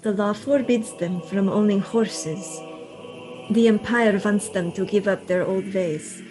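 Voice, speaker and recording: Actress doing mysterious intense young gothic lady, slow formal period talk, music playing behind. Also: mid-range voice, fairly even intonation, natural voice, poor audio. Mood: pained disdain. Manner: languid, measured, formal period diction. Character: mysterious intense young woman, secretly ancient, possessive in love. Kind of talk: gothic radio drama acting.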